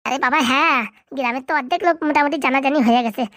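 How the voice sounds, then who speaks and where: A woman voicing a sly, flattering villager in a comedy cartoon, chatty and insistent. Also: high voice, rapid-fire, no echo, clean studio sound. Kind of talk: amateur comedy cartoon dialogue.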